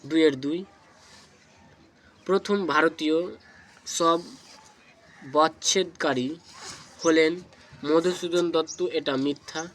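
A man reading out exam questions and answers as a tutor, in deliberate, segmented phrases. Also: fairly high pitch, fairly even intonation, fairly bright tone, slight background noise. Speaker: exam tutor, channel host.

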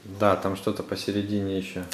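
Very deep-voiced dad in a roomy space. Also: male voice, completely flat delivery, a little fast. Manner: instructing and prompting. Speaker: father guiding children through a craft. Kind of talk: casual family chat. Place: indoor room at home. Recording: roomy echo, faint background noise.

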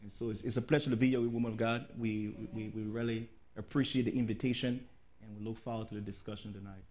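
A man's voice: fairly low pitch, little pitch movement, quick pace, darkish tone. A middle-aged man with a Liberian accent introducing himself as a ministry guest on a panel. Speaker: apostle, talk-show guest. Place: indoor TV studio, seated.

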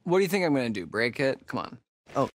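An actor playing a joking, sardonic young camp counselor in a video game, with an American accent and a laid-back, slangy way of talking. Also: male voice, mid-range voice, big pitch swings, quick pace, no echo. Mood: playful, wisecracking. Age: young adult. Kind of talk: naturalistic video game acting.

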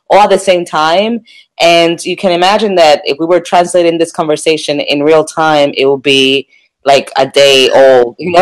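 Halting woman curator on a video call. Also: lowish voice, poor audio. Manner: halting, with many ums and restarts. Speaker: museum curator on a panel. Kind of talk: unscripted panel discussion.

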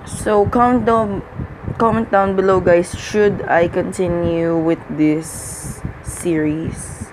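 A man from the Philippines with a Filipino accent, a non-native English speaker, giving casual let's-play commentary in a halting way, with many fillers and restarts. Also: high voice, level tone, background noise. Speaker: gaming channel host.

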